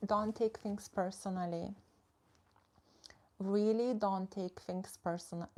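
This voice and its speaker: A woman's voice: medium pitch, a little mellow. A young woman, a social media coach chatting casually on a live stream, reading and answering viewer comments in a frank, filler-heavy way.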